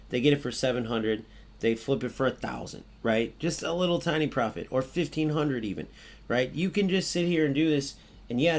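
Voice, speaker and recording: Raspy-voiced young man, casual game talk. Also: mid-range voice, darkish tone. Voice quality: raspy, voice cracking. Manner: casual, filler-heavy, frequent "right?". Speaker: gaming channel host. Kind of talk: casual gameplay commentary.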